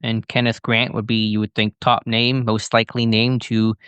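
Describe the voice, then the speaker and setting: A man's voice: fairly low pitch, flat delivery, moderate pace, a bit bright, clear, resonant tone, no echo. An American man co-hosting a sports podcast, giving fluent, informative analysis in a conversational style.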